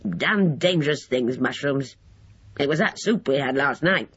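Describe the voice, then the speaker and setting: A male narrator playing a gleeful, spiteful bedridden old man who crows and laughs in a high whinny, on a dull lo-fi recording. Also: a little slow, a bit bright, slightly rough. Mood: grumbling. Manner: high whinnying cackle, grumbling.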